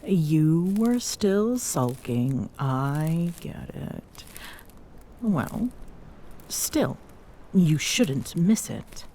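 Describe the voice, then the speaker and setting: A woman's voice: deep voice, sing-song, drawn-out words, warm tone, slight background noise, heavily acted. A woman playing a tall Amazonian queen in an intimate ASMR roleplay, smitten and teasing, bossy yet tender, often slipping toward a whisper. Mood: teasing, affectionate. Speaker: voice actor in audio roleplay.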